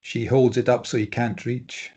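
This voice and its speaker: A man's voice: medium pitch, little pitch movement, moderate pace, darkish tone, breathy edge. A man reading stage directions aloud as scripted narration at an informal drama table read.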